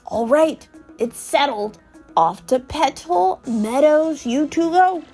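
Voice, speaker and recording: A woman's voice: sits high, animated, takes it slowly, dark tone, faint music behind. A woman voicing a shriveled old male Goomba professor from a video game, explaining things in a fussy, cautious way. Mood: earnest, advising. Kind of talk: video game character read aloud.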